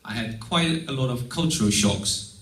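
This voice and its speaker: A young man with a Russian accent, a native Russian speaker, delivers an earnest, measured prepared talk and sometimes restarts a sentence. His voice sits at an ordinary pitch. The room is echoey and he stands some distance from the mic. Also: lively intonation, fairly bright tone. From Estonia. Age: young adult. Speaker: exchange student giving a presentation. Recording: roomy sound, mic at a distance.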